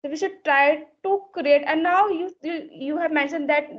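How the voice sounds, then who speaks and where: A woman's voice: sits high, normal speed. A woman from Uttar Pradesh with a North Indian accent, a native Hindi speaker, chatting in learner English and often repeating herself. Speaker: English learner and conversation partner. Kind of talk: casual video-call conversation. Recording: muffled lo-fi call audio.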